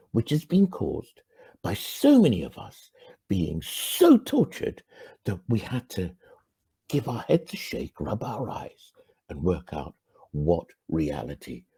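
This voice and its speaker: Husky, gravelly man from Ghana. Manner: earnest, swinging from emphatic rises to quiet low endings. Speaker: channel host. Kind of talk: reflective monologue to camera.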